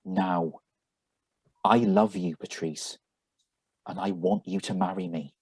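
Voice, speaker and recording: A man's voice: low voice, very animated, takes it slowly, vocal fry, a little mellow, breathy edge, dry recording, exaggerated voice. An actor playing an earnest young man in love in a live radio drama reading. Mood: earnest, nervous.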